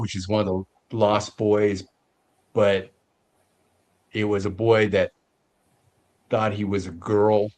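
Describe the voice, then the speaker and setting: A man giving a casual movie review as a show host, haltingly searching for his words. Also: on the low side, darkish tone.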